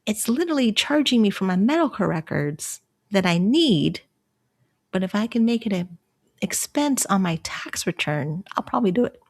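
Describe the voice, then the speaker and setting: A woman from Canada in her thirties, hosting a casual solo livestream in a chatty, rambling way, laughing often and jumping up in pitch when excited. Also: normal speed. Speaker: livestream host.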